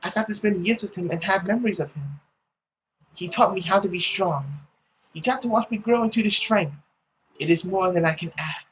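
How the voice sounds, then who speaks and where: A man voicing a gentle, resolute young woman in a visual novel, earnest and formal in delivery. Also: high-pitched, a little fast, dull audio, a little hiss or hum, natural voice. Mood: tender, reflective.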